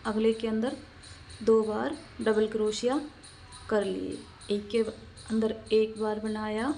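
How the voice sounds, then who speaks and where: A woman's voice: fairly high pitch, steady tone, slow pace, creaky, mellow, slight background noise. A woman narrating a step-by-step craft tutorial, explaining in a repetitive, instructional way and counting aloud.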